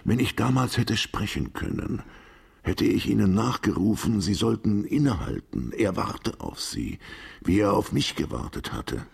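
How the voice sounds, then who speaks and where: An actor in a literary radio drama playing a world-weary, fatalistic writer who speaks in a deliberate, formal, philosophical way with a gravelly, husky voice. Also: male voice, on the low side, sing-song, exaggerated voice. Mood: haunted, intense. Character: world-weary, fatalistic male writer, once left for dead, brooding and enigmatic.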